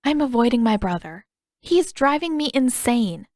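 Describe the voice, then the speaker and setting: A woman's voice: a little high, animated, moderate pace, darkish tone, no echo, voice pushed up, lightly acted. Woman as a guarded teenage girl, dry sound. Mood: exasperated. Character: guarded, exasperated teenage girl in a fantasy story.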